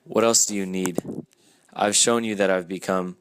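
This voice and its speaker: A man's voice: low-pitched, fairly even intonation, normal speed, background noise. A young man reading as a brooding lovesick rich man. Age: young adult. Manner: hushed, brooding journal monologue.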